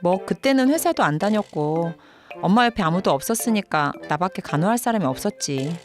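A woman's voice: lowish voice, animated, fairly quick, close to an everyday voice. A woman playing a practical working wife and daughter-in-law, pointed and matter-of-fact, in a dramatized story video. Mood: concerned. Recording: music behind the voice.

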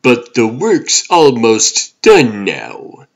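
A man's voice: mid-range voice, wildly swooping pitch, extremely slow, vocal fry, pitched below the performer's own voice, full character voice. A man voicing a busy, fussy reptilian toymaker in a comic dub, muttering and preoccupied.

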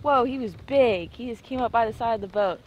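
A woman's voice: fairly high pitch, fairly quick, a little mellow. A young American woman casually narrating a fishing vlog in an informal, slangy way from a small boat outdoors. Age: young adult. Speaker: fishing show host.

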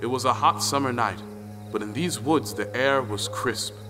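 A man's voice: mid-range voice, normal speed, lightly acted. An actor playing a male storyteller who narrates an old folktale in an audio play. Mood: storytelling. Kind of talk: scripted dramatic folktale narration. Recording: long reverb, music behind the voice. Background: music.

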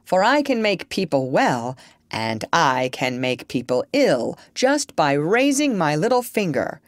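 A woman's voice: sits low, big pitch swings, unhurried, no room sound, clean studio sound. A woman voicing a parrot who mimics a grand, boastful male doctor, pompous and threatening, in a children's storybook. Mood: pompous, menacing. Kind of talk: children's storybook character reading.

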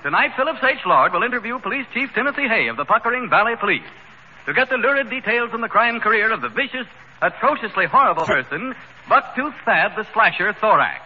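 A man's voice: high-pitched, big pitch swings, fairly quick, slightly rough, heavily acted. A man playing a pompous crime-show announcer in a declamatory 1930s radio comedy style. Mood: mock grandiose. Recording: noisy, muffled 1930s radio recording.

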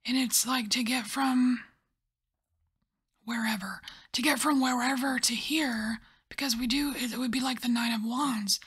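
A woman softly whispering and musing as she lays out and reads tarot cards in an ASMR style. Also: on the high side, steady tone, breathy edge. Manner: soft whispering, musing and unhurried. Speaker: tarot reader.

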